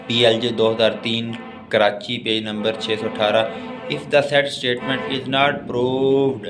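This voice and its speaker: A man with a Pakistani accent giving a law lecture, reading notes aloud haltingly, word by word, and explaining them. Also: lowish voice, a bit bright, slight background noise. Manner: slow, halting word-by-word when reading, plainer explanations between.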